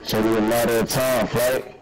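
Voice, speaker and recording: Chat player, man, ordinary pitch, needling laughter. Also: flat delivery, normal speed, soft background music, some chatter behind, poor audio. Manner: laughing, repeating jeers. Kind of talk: trash talk.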